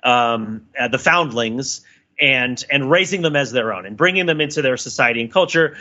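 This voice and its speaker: A man co-hosting a podcast in casual, analytical discussion, thinking aloud haltingly and often checking in with "right?". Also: medium pitch, lively intonation, sharp tone, highs cut off. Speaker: podcast co-host.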